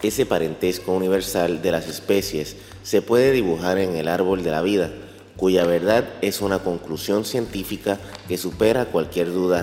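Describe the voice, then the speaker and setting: A deep-voiced man narrates from a script in documentary style. His voice rings with long reverb, and music plays behind it. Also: darkish tone. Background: background music.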